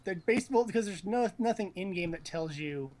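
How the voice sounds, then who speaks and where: A man's voice: high voice, expressive, fairly quick, darkish tone. A man casually chatting as he watches a video game, teasing and joking in slangy talk. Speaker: commentator on a video game stream.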